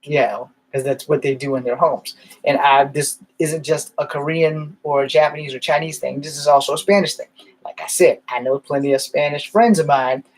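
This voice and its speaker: Man, husky voice, slightly high pitch, casual rambling vlog. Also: steady tone, normal speed, low-quality recording. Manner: rambling, candid, swears casually. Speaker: vlogger. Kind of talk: casual storytelling monologue.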